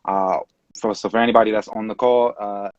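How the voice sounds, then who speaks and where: A man in his late twenties from California, talking casually as an interview guest on a video call, relaxed and rambling with many fillers. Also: lowish voice, fairly quick, no room sound. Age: late 20s. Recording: dull dry video-call audio.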